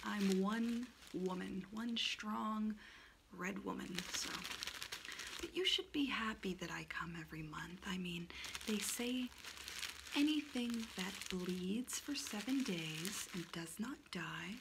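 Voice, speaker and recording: A woman's voice: mid-range voice, takes it slowly, vocal fry. A woman whispering as sassy Aunt Flow. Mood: teasing. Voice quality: soft whispering. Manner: teasing, cheeky. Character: sassy personified monthly period, a female aunt. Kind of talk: ASMR roleplay. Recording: noisy recording.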